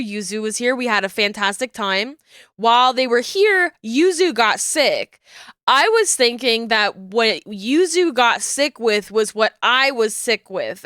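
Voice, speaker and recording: A woman's voice: on the high side, pushed and bright, smooth, dry recording, clean studio sound. A woman streamer with a cold, sounding congested, chatting casually and rambling before playing a game. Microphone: desk microphone.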